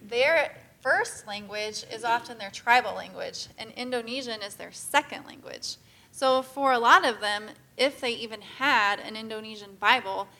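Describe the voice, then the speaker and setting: A woman's voice: a little high, bright, forceful tone. A woman speaking casually and earnestly to a church group about mission work, with frequent "um"s. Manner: casual, filler-heavy, earnest. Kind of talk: church presentation talk.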